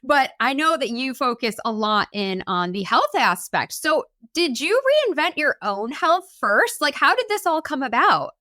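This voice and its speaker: A woman's voice: high-pitched, big pitch swings, a little slow, hardly any pauses, pushed and bright, dry recording, clean studio sound. A woman hosting a podcast interview, upbeat and enthusiastic in casual chat. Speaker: podcast host.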